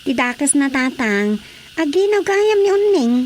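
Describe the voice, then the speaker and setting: A woman's voice: high-pitched, sing-song, measured pace, a little mellow, slight background noise, low-quality recording. A woman playing an earnest, sympathetic and indignant cousin in a radio drama. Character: concerned, indignant female cousin, sympathetic confidante.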